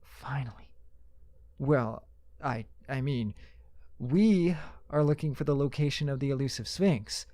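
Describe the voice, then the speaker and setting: A man's voice: mid-range voice, measured pace, warm tone, no echo, close to an everyday voice. A man playing a polite, wry married mage on a quest in an audio drama. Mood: persuading.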